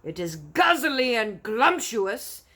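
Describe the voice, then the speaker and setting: A woman's voice: a little high, big pitch swings, slow pace, pushed and bright, voice pushed up, full character voice. A woman voicing a brutish man-eating male giant in a storybook, roaring and gloating in mangled grammar. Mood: furious, insulting.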